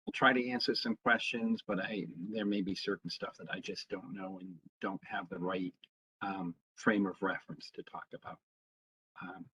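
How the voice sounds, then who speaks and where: A man, a town historian, giving an informal public history talk, pausing often with ums and uhs. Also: fairly low pitch, fairly bright tone, breathy edge. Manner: halting, fills pauses with frequent ums. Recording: dull lo-fi sound.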